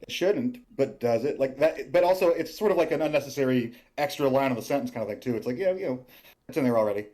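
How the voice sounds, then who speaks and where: A man's voice: mid-range voice, expressive, fast talker, dark tone. A man, a voice actor, chatting casually in a cast commentary with an effusive, rambling way of talking. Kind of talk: casual group commentary discussion.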